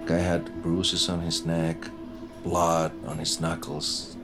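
An actor playing a weary, haunted retired detective in his sixties, talking plainly and haltingly in an audio drama. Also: low-pitched, monotone. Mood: grim, brooding. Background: music.

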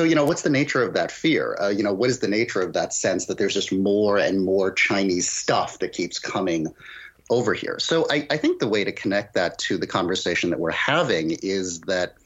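A man's voice: sits low, husky, lo-fi sound. A man talking as a guest critic in a literary podcast discussion, analytical and thinking aloud, often posing rhetorical questions.

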